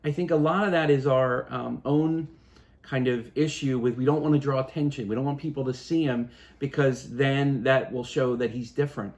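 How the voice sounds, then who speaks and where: A man in his fifties, a father explaining things in a calm, casual chat at home, pausing and restarting as he thinks. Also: medium pitch. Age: 50s. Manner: thoughtful, halting, many restarts and fillers. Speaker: parent.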